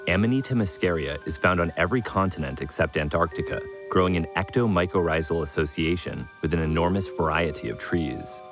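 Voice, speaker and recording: A man's voice: sits low, animated, a little fast, few pauses, music in the background. A man delivering scripted documentary narration.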